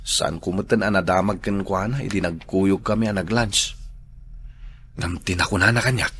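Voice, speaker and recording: A man acting a shy, earnest young office worker in love with a coworker, soft-spoken, in a radio drama. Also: sits low, fairly even intonation, moderate pace, slight background noise.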